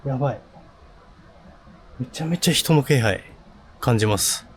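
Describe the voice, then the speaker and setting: A man's voice: medium pitch, lively intonation, unhurried, a little hiss or hum. A man speaking as a paranormal investigator on location, talking through what is around him and calling out questions. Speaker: paranormal investigator in a video duo.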